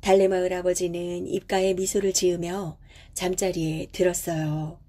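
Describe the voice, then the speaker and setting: Woman, storyteller, slightly low voice, very soft mellow tone, gentle measured fairy tale narration. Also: flat delivery.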